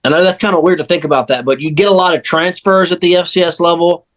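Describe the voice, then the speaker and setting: A man's voice: on the high side, fairly even intonation, fast, a bit bright. A man on a sports podcast crew, talking casually and emphatically, repeating phrases to drive points home. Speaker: sports radio crew member and panelist.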